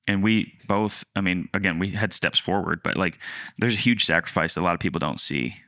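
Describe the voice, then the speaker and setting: A man around thirty co-hosting a podcast, telling a personal story in a casual, halting, rambling way. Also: deep voice, bright, forceful tone, no room sound, studio quality. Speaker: podcast co-host, land agent.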